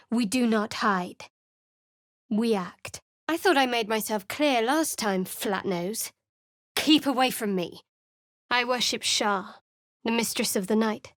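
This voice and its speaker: An actress voicing a guarded, sardonic young woman cleric of a dark goddess in a video game, speaking in a cool, dry and wary way. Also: fairly high pitch, dry recording. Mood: devout, then cold. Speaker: game companion character.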